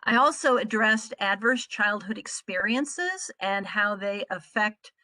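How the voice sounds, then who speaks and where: A middle-aged American woman from the Midwest giving an explanatory presentation as a trainer, speaking in a measured, articulate way. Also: mid-range voice, animated, bright, forceful tone, no echo. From Midwestern United States. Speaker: trainer presenting on a conference call.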